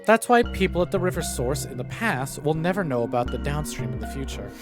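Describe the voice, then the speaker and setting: A man reads the part of a cryptic, self-assured young woman in a visual novel. The voice is on the higher male side, deliberate and riddling, with faint music behind. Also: very animated.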